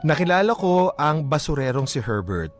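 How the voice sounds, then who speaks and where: A man's voice: fairly high pitch, very animated, normal speed, faint music behind. A man narrating as a lovestruck, self-assured letter writer in a Filipino radio drama, confiding and dramatic. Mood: wistful, self-assured.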